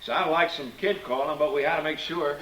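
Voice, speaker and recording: An actor playing a polite, apologetic, businesslike police officer in an old radio drama. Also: male voice, a little high, a little fast, fairly bright tone, natural voice. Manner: courteous, businesslike. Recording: echoey, faintly noisy old radio recording.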